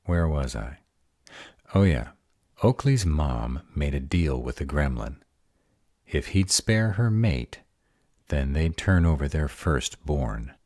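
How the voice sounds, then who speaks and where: Fantasy roleplay were-dragon, male actor: rumbling very low, husky, grumbly and easily sidetracked. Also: animated, slow, pauses often, a little mellow, no echo, studio quality, full character voice. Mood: distracted, grumbling. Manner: grumbling, conversational asides. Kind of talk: audio roleplay fantasy drama.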